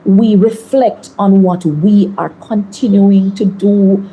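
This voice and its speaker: Measured Jamaican woman politician. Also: mid-range voice, fairly even intonation, mellow, slight background noise, poor audio. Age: 30s. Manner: measured, deliberate, articulate. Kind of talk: interview answers.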